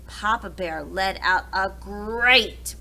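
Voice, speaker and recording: A woman narrating a children's story aloud for preschoolers, with dramatic and deliberate phrasing. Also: mid-range voice, vocal fry, bright, forceful tone, slight background noise.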